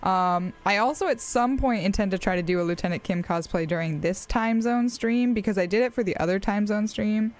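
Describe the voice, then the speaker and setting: A woman's voice: mid-range voice, a little fast, few pauses, darkish tone. A woman in her twenties from Australia, speaking with an American accent and chatting casually on a live stream, rambling with frequent fillers and laughs.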